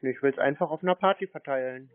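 A man chatting casually and tersely on the phone in a deadpan way, baiting the caller as a prank host. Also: medium pitch, quick pace. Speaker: prank host answering scam calls. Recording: tinny narrowband phone line.

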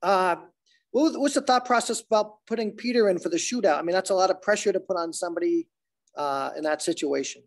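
A man's voice: high-pitched, quick pace. An older man asking interview questions as a sports reporter, informal, often restarting his phrases, on a phone video call.

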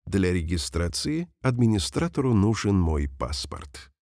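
A man reading example sentences slowly and carefully for language learners, saying each one twice. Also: deep voice, sing-song, a little mellow, no room sound, clean studio sound. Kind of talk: slow repeated phrase reading for learners.

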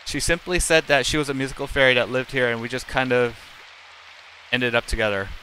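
Bright-voiced man reading aloud, some background noise. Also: mid-range voice, steady tone, a little fast, rough recording. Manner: plain, unacted reading with occasional stumbles. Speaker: live streamer. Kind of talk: reading visual novel text aloud with casual asides.

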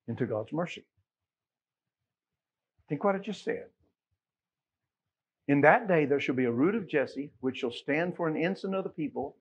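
A middle-aged American man preaching a Baptist sermon, emphatic, moving between quiet asides and loud exclamations and quoting scripture. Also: on the high side, slightly rough, dull audio. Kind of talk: Sunday sermon with scripture quotations. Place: small church sanctuary, at the pulpit.

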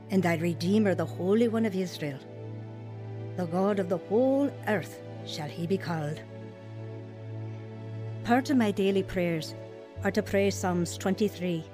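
Radio drama role: earnest, reassuring woman of faith, played by an actress, lowish and dark-toned, patient. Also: lightly acted. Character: gentle, devout woman care worker, earnest and reassuring.

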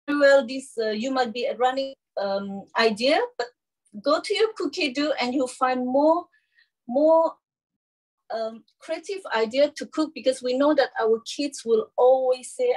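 Woman in her 30s doing a live cooking show sales pitch at home, highish voice, keeps checking in with okay. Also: lively intonation, measured pace, a little mellow, low-quality recording. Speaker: cooking demonstrator and presenter. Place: small home kitchen, indoors.

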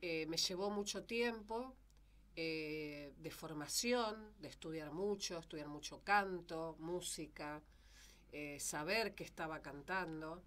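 A young Rioplatense woman, a singer and vocal teacher, talking as a guest in a radio studio. She speaks slowly and unhurriedly, pausing on fillers as she gathers her thoughts, into a close studio mic. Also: deep voice, fairly bright tone. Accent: Rioplatense Spanish. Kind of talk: casual studio chat. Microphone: studio mic with headphones.

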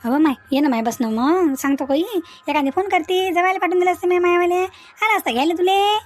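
Woman voicing a shrill-high, bossy village mother-in-law. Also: a little fast. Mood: nagging. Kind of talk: Marathi comedy cartoon dialogue.

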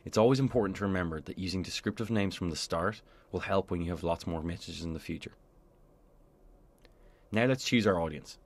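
A man with a low voice narrates a scripted software tutorial. He explains each step in a clear, orderly way, as a presenter on a screencast voice-over would. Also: fairly quick.

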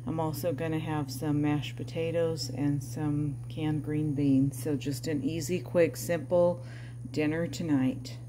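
A woman's voice: sits low, little pitch movement, unhurried, background noise. A middle-aged woman casually narrating her home cooking, chatty and homey. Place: home kitchen.

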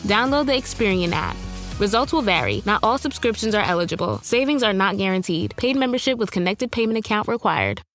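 A woman's voice: mid-range voice, animated, a little fast, a bit bright, faint music behind. A woman announcer reading a scripted advert with disclaimers.

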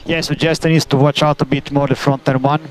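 A man working as a race engineer, giving terse, halting instructions over team radio and repeating key commands. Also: on the high side, level tone. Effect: team radio transmission. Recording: noisy motor-racing team radio.

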